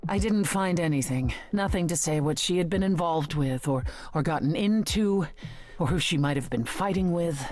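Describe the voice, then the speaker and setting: A woman's voice: deep voice, moderate pace, a little mellow, faint music behind, lightly acted. A woman playing an assured, dry-witted goddess of the harvest in a video game. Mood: firm.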